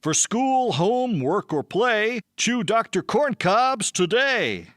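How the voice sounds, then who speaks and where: An earnest announcer voice, put on by a man, formal and measured, with lively intonation. It has the sound of mock radio delivery, recorded dry and close. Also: sits high, no echo, heavily acted. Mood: salesy. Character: earnest radio announcer with bulletins and bubble gum adverts.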